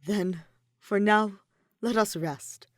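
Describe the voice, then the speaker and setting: A woman voicing an earnest, tender young man in love, speaking with gentle formality in a story reading. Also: medium pitch, slow pace, no echo, studio quality. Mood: gentle, reassuring. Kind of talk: audiobook-style fan fiction character reading.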